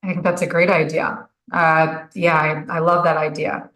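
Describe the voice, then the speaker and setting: A woman's voice: low-pitched, level tone, normal speed, a bit bright, reverberant. A woman hosting a talk show, speaking earnestly and somewhat hesitantly, with many fillers. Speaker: host. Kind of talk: casual podcast talk.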